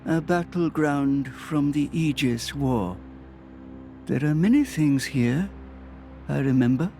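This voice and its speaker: An actor voicing an ancient, wise male creature who speaks calmly and slowly. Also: a little high, faint music behind.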